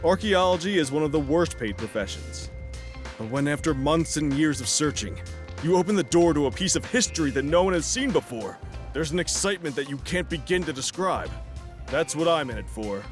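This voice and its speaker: A man's voice: on the high side, lively intonation, brisk, faint music behind, natural voice. A man playing a friendly, earnest archaeology professor who explains things kindly in an audio drama. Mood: earnest, explaining.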